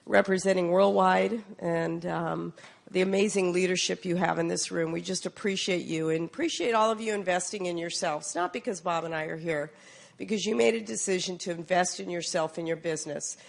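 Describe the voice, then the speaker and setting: A woman in her forties with a hoarse voice from a cold, giving an earnest, upbeat seminar talk with frequent fillers. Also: lowish voice. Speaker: seminar speaker. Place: meeting room.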